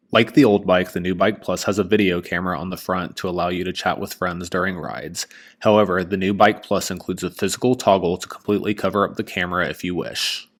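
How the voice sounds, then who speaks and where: A man reading a scripted product review as host, in a measured, informative way. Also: sits low.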